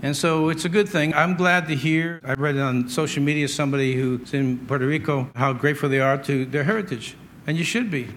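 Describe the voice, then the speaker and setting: American male preacher, slightly high voice. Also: a little fast, a bit bright, a little hiss or hum. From United States. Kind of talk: sermon, partly conversational with scripture readings.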